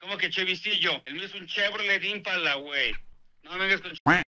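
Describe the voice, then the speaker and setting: An irascible middle-aged man with a Mexican accent argues over a phone speaker. His voice is high and very sharp, tinny, indignant and full of swearing. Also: quick pace. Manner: irascible, indignant, foul-mouthed. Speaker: prank call victim, insurance customer. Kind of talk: heated phone argument. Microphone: phone speaker held near studio mic.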